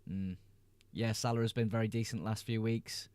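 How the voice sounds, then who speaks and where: A young Englishman with a lowish, mellow voice chats through viewer questions on a livestream. He reads each message aloud, then answers in a relaxed, easygoing way. The sound is dry and close, with no room around it. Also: normal speed, clean tone, no room sound. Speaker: livestream host. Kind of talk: casual livestream chat answering viewer comments.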